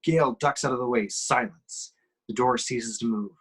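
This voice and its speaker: A man's voice: medium pitch, normal speed, fairly bright tone, breathy edge. A man giving emphatic, suspenseful scripted narration as he reads action descriptions at an amateur table read.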